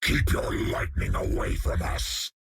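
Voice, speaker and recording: An actor voicing a menacing alien symbiote monster in a video game, with a hissing guttural rasp and ominous formal speech. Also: male voice, measured pace, no echo, studio quality, lightly acted. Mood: hostile. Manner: ominous, formal, calls itself "we". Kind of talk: video game character lines.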